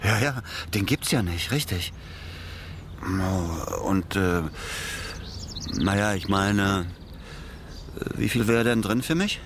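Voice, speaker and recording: An actor playing a simple, plain-spoken accomplice in a radio crime comedy, blunt, doubtful and full of questions. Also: male voice, deep voice, slow, long pauses, fairly bright tone, a little hiss or hum, heavily acted. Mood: haggling. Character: wary, simple-minded male accomplice, plain-spoken and greedy.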